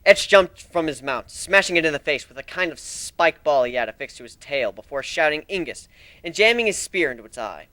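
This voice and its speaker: Animated man narrating an action story. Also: on the high side, a little fast, few pauses, no room sound. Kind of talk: dramatic first-person story narration.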